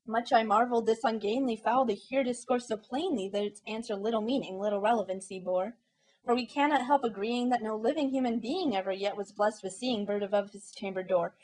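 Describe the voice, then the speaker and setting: A woman's voice: mid-range voice, rough recording. A woman giving an amateur dramatic poetry reading in a theatrical, intense manner. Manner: theatrical, intense, shifting between hushed and impassioned.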